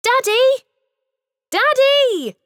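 An eager little boy pipes up, his voice pitched high and loud with excitement, as a woman narrator puts him on. It is a storybook voice, close and dry. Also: measured pace, no room sound, heavily acted. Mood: excited.

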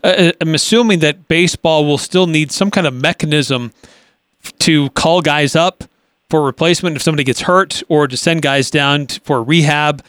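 A man's voice: on the high side, normal speed, a bit bright, no room sound. A man hosting a sports radio show, asking questions in a conversational interview style.

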